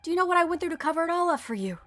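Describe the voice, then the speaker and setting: A woman's voice: extremely high pitch, lively intonation, racing, a bit bright, no room sound. A woman voicing a hard-nosed police officer with a commanding, bitter edge. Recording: dry close mic, faint music.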